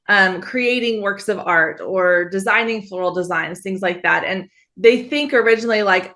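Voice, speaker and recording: A woman's voice: medium pitch, a bit bright. A woman in her thirties from Texas, a photographer and business coach, answering interview questions in a friendly, upbeat way. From Texas, USA. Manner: friendly, upbeat, easy laughs. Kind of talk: podcast interview.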